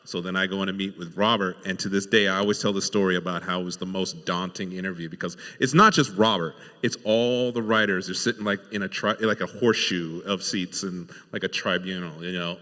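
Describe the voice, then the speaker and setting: A man who writes for television, telling stories casually on a panel in a halting, self-deprecating way. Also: sits low, steady tone, sharp tone. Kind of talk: casual storytelling. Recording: echoey hall with long reverb.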